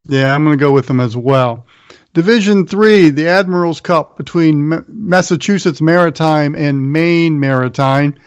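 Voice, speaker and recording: A man's voice: on the high side, moderate pace, clean tone, dry recording. An American man hosting a sports show, running through college football news and previews. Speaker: sports radio show host. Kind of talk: sports round-up, partly scripted narration.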